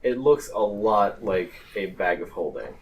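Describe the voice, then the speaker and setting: A man with a low voice serves as game master at a tabletop session. He speaks slowly and deliberately, pausing as he builds each description. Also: expressive, dull audio. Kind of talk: improvised tabletop game narration.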